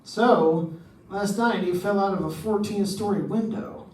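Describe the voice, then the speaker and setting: Man acting a suspicious insurance man, radio drama, high pitch, halting phrases, distant mic with faint noise. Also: roomy sound. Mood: urgent, suspicious. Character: worried male insurance man with nagging suspicions.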